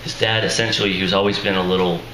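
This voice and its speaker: Worn-out deep male voice, joking at himself, roomy echo, noisy hall. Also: steady tone, fast talker. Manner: self-deprecating, chuckling asides. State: strained, tired voice. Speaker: author at a reading. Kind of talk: casual introduction to a reading. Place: live reading venue with audience. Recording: echoey room, noisy ambience.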